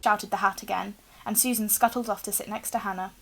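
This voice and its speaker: A young woman reads a storybook aloud in an expressive narrating style. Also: mid-range voice, brisk, over music, rough recording. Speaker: reader, teacher. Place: small room indoors with bookshelves.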